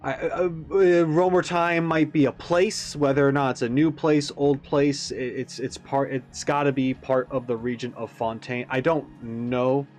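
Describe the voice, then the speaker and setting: A man's voice: medium pitch, steady tone, unhurried, few pauses, a little mellow, soft background music. A man giving casual, rambling analysis as a video host, musing and tentative as he thinks aloud. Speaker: YouTube reaction host.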